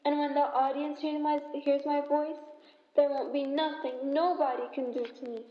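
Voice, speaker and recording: A wistful, determined young dreamer in a radio melodrama, played by a woman. Her voice is high, set in an echoey hall with slightly dull sound. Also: little pitch movement, normal speed, natural voice. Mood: yearning, resolute. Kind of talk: melodramatic old-time radio drama parody.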